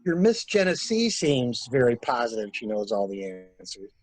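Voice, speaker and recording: Man acting a husky, wry skeptic. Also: mid-range voice, big pitch swings, moderate pace. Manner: sardonic. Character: wry, skeptical man confiding with a troubled woman. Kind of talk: amateur radio-play acting.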